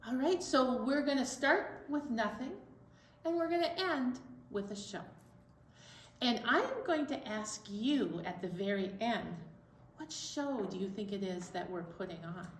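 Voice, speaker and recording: A woman's voice: a little high, lively intonation, unhurried, recorded from a distance. An American woman co-hosting a storytime and speaking to young children. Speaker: children's librarian and storytime co-host. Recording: echoey room, away from the mic.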